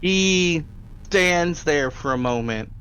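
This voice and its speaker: A man with a gravelly voice, narrating a tabletop game as game master in a casual way. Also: a little high, animated, takes it slowly, bright, forceful tone.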